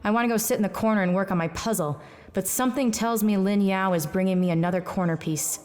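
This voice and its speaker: A hard-boiled actress's take on a female detective who drinks hard and talks blunt. She is wry and deadpan, with a middling pitch, in the manner of a noir radio play. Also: quick pace, lightly acted. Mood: world-weary. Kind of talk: noir audio drama acting.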